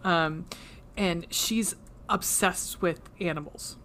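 A woman with a low voice co-hosting a podcast, chatting casually and swearing freely, with frequent ums and trailing thoughts. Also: slow, a bit bright, slight background noise. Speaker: podcast co-host. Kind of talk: casual chat.

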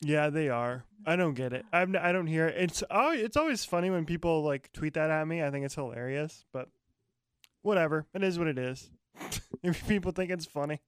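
A man co-hosting a podcast, chatting casually with a loud, booming voice and frequent laughs. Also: animated, moderate pace, clean tone, no echo, studio quality. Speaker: podcast co-host. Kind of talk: casual chat.

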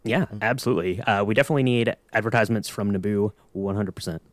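A man's voice: on the low side, quick pace, no room sound. A man co-hosting a podcast, chatting casually and playfully in mock-serious fan banter. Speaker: podcast co-host.

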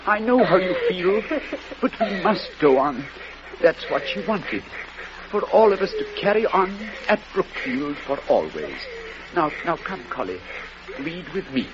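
An actor playing a devoted, gentle schoolteacher husband in an old-time radio drama, speaking earnestly and haltingly. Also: male voice, sits high, big pitch swings. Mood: strained, subdued. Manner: earnest, halting, emotional delivery. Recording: dull, noisy old radio recording.

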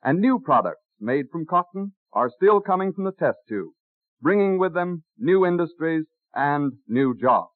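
A man working as a 1930s radio announcer, reading a scripted sponsor's message in a formal, deliberate manner. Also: a little high, expressive, dry recording. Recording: dry, narrow-band old radio recording.

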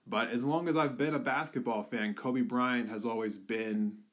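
A young man from the Philadelphia area talking earnestly in a personal tribute, halting with many fillers. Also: medium pitch, steady tone. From Philadelphia area, USA. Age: young adult.

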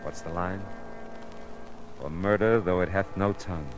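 An actor playing a world-weary American private detective in an old radio drama, laconic, wry and deadpan. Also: male voice, low-pitched, unhurried. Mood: wry, brooding. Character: hard-boiled world-weary private detective, grown man. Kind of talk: 1950s American radio drama acting and narration. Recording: noisy old radio broadcast recording.

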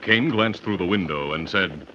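A man's voice: low voice, normal speed, pushed and bright, slight background noise. A man narrating as a 1950s radio announcer, in a grand, theatrical, declamatory way. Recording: muffled vintage radio recording with music.

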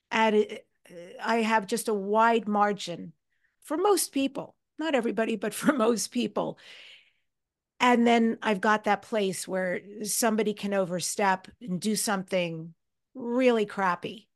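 An American woman speaking in a reflective, candid, conversational way as a podcast host interviewing a guest. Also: medium pitch.

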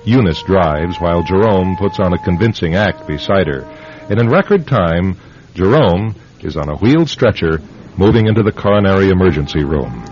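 A man's voice: low voice, lively intonation, normal speed, darkish tone. A man delivering scripted narration for an old-time radio drama. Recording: dull lo-fi old radio recording. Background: faint music.

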